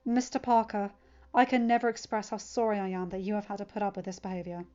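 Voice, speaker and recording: A woman voicing a courteous, authoritative male school principal in a story reading, speaking in a formal, measured and accommodating manner. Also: medium pitch, flat delivery, warm tone, slight background noise, close to an everyday voice. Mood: apologetic. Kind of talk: audiobook character voice for fan fiction. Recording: dull lo-fi home recording.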